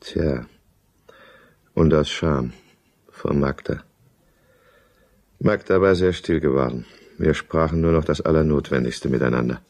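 A deep male voice in a German radio drama gives us a self-pitying businessman who drinks. He narrates and argues in a brooding, irritable way, always defending himself. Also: measured pace, pauses often, darkish tone, heavily acted. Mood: brooding, confessional. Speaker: lead character and first-person narrator.

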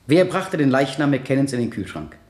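A man's voice: mid-range voice, sing-song, moderate pace, a little mellow, lightly acted. A man playing a relentless prosecutor cross-examining a witness in a 1970s German radio drama. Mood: probing. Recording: roomy radio play studio sound.

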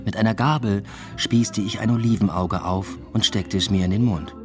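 A man's voice: on the low side, steady tone, moderate pace, over music, close to an everyday voice. A man acting in a literary radio drama as a secretive, restless lover, speaking in an understated, matter-of-fact way. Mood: reflective, subdued. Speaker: first-person narrator and lead actor. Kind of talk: literary radio drama, intimate narration and dialogue.

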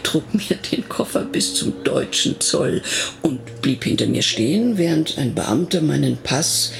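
A man with a gravelly voice narrating a radio drama in the first person, reminiscing in a conversational way. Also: a little high, very animated, a little slow, heavily acted.

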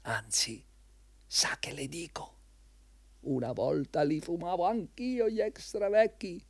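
Eager ingratiating job seeker, fairly high male voice, fawning, effusive, insistent, dry radio play sound. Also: takes it slowly, creaky, no room sound, exaggerated voice. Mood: rapturous. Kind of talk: theatrical Italian radio drama acting.

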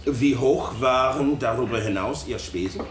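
A man's voice: mid-range voice, moderate pace, natural voice. An actor voicing a male courtroom questioner who asks formal, probing questions in a documentary reenactment. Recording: echoey room with faint noise.

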